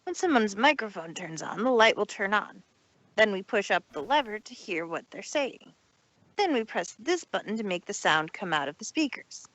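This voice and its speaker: Give this one a confident, boyish baby dragon acted out by a woman, a young helper in a cartoon fan drama. The voice sits at a middle pitch for a woman and is recorded very dry. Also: big pitch swings, moderate pace, a bit bright, dry recording, low-quality recording. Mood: confident, explaining. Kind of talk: fan fiction audio drama reading.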